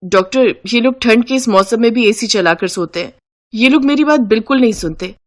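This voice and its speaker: A woman's voice: fairly high pitch, brisk, natural voice. A woman voicing a fed-up young daughter-in-law with a taunting, sarcastic manner in a moral-story cartoon. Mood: complaining.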